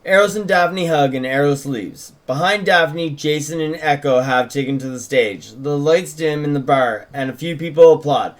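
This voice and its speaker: A man's voice: fairly high pitch, normal speed, hardly any pauses. A man co-hosting a script read-through, narrating stage directions and chatting casually about the script he co-wrote. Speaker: co-host and script co-writer.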